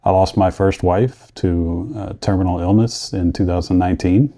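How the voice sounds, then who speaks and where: A middle-aged man delivers scripted personal storytelling. His voice sits low, with a very dark, relaxed tone. He speaks earnestly, in short, deliberate phrases. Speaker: vlogger telling his own story.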